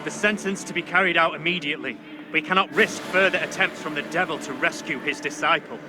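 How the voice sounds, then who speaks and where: An actor playing a stern, authoritative seventeenth-century judge in a video game, speaking in formal, archaic, commanding language. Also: male voice, a little high, brisk, music in the background, natural voice. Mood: solemn, stern. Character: stern, authoritative male 17th-century witch-trial judge. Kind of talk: dramatic video game acting.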